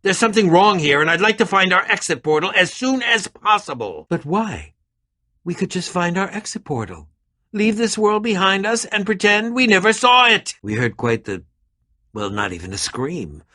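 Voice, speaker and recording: A man playing an eccentric, middle-aged adventurer in an animated video game, theatrical and fussy, with a dry, pompous turn of phrase. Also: high-pitched, normal speed, exaggerated voice. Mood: earnest, fussy.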